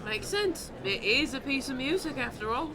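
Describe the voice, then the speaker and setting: A woman's voice: high voice, expressive, a loud crowd. A woman playing a bossy girl detective. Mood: brisk. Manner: brisk, commanding. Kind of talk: audio drama acting.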